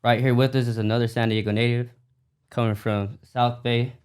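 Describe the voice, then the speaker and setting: Man, podcast host, medium pitch, laid-back delivery, slangy, casual interviewing. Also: flat delivery, moderate pace, darkish tone, clear, resonant tone.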